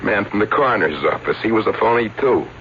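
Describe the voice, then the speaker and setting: An actor playing a wry, regretful publicity agent confessing to a detective in an old-time radio drama. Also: male voice, fairly low pitch, animated, quick pace, gravelly. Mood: wry, proud. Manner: colloquial, dramatic. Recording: muffled vintage radio recording, faint hiss.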